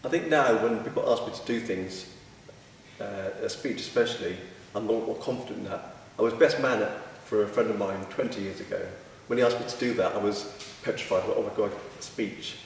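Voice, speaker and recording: Low flat-sounding guy, monotone, far from the mic in a big echoey hall. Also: a little fast, slight background noise. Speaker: club member. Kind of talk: casual interview.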